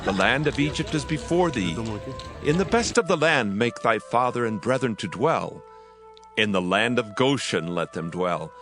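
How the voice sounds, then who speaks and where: A man's voice: medium pitch, lively intonation, moderate pace, over music, close to an everyday voice. A man playing an authoritative Pharaoh in a dramatized scripture reading, with stately declamation. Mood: regal. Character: regal male Pharaoh, authoritative ruler of Egypt.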